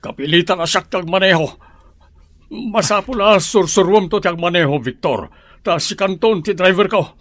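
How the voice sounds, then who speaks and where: High-voiced Ilocano family man. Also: moderate pace, a bit bright, no room sound. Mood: urgent. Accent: Filipino, Ilocano. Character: well-off middle-aged husband and father, head of household. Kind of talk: radio drama acting.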